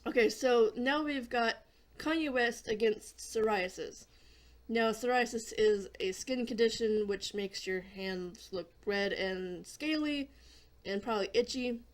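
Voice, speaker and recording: A hoarse woman hosting a casual gaming video, chatting in a rambling way and often clearing her throat. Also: a little high, a little slow. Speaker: gaming channel host.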